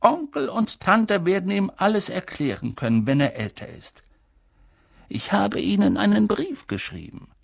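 A reader voicing a calm elderly male headmaster, speaking soft, measured and grave in an audiobook. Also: gravelly, no room sound, clean studio sound, exaggerated voice. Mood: firm. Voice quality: soft, low-key and measured. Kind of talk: audiobook character reading.

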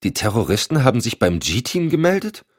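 A man's voice: mid-range voice, sing-song, brisk, dry recording, studio quality, voice pushed up. A man voicing an outspoken, inquisitive special agent in an audiobook, eager and questioning. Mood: surprised. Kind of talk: audiobook dialogue.

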